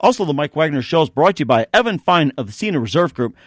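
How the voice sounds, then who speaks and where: A man's voice: a little high, big pitch swings, fast, no echo, clean studio sound. A man hosting a radio-style interview show, moving between sponsor reads and questions. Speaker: show host.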